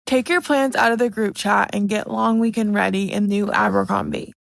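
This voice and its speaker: A woman's voice: mid-range voice, normal speed, vocal fry, faint music behind. A woman announcer reading a scripted fashion advertisement.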